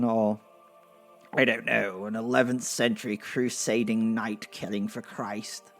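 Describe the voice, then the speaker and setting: A man's voice: mid-range voice, natural voice. A man playing a nervous, self-important gate attendant of heaven in a comic fantasy audio drama, with a hesitant, stammering delivery. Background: music.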